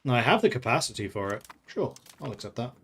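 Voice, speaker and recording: A man's voice: medium pitch, very animated, quick pace, creaky, fairly bright tone, slightly rough. A man from the Netherlands who grew up in England, streaming a strategy game and thinking aloud in casual commentary, chatting with viewers and laughing often.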